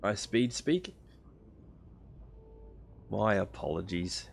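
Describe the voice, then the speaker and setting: A man's voice: lowish voice, expressive, extremely slow, vocal fry, a little hiss or hum. A young Australian man casually chatting with his live-stream viewers while playing a space game. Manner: chatty, answering chat viewers between game actions.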